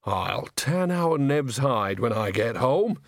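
A man's voice: mid-range voice, big pitch swings, slow, creaky, no room sound, clean studio sound, exaggerated voice. A man voicing an earthy old woman witch who mutters and grumbles disapprovingly in a storybook. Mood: grudging, awkward.

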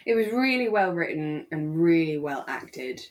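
A woman's voice: low voice, sing-song, normal speed. A young woman co-hosting a podcast, casually recapping a drama in an emotive, exclaiming way. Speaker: podcast co-host.

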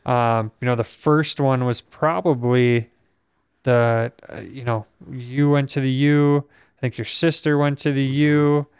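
A young man from Minnesota talks in a steady, reflective way. His voice is smooth and clean, with little rise and fall and not much breath behind it. The recording is dry and close to the mic. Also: mid-range voice, a little slow, dry recording. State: weak breath support. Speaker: podcast host. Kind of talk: casual conversation.

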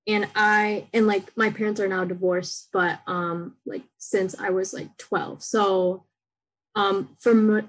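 A woman's voice: medium pitch, husky, rough recording. Young woman guest, mid-range voice, easygoing and rambly. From Michigan, United States. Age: young adult. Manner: relaxed, rambling, frequent "like" and "um". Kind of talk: casual interview talk.

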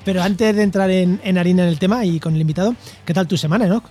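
A man's voice: high voice, fast talker, warm tone, husky, soft background music. A man from La Mancha in Spain, hosting a podcast in a casual, chatty style. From Albacete, La Mancha, Spain. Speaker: podcast host.

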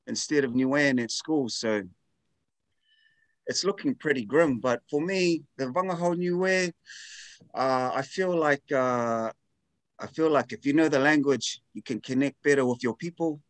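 A man's voice: medium pitch, a little slow, dry recording. A man with a mid-range voice talks as a podcast guest. His voice is recorded close and dry. He sounds earnest and candid, with a self-deprecating touch. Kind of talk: casual podcast chat.

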